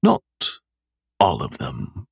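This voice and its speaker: A man voicing an armored male monster who taunts his foe slowly in a hollow voice. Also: on the high side, vocal fry, a bit bright, no room sound, studio quality, pitched above the performer's own voice. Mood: mocking. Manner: slow, taunting, patient menace.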